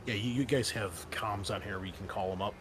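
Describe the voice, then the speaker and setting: A man acting as game master, narrating and explaining a tabletop roleplaying game in a casual, halting, conversational way. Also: on the low side, steady tone, noisy background.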